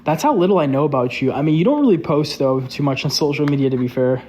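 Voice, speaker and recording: A man's voice: fairly high pitch, animated, fast, a little mellow, slight background noise. A young man chatting casually on a live stream, loose and candid, filling his talk with "like". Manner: loose and candid, heavy use of "like". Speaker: social media creator hosting a live stream.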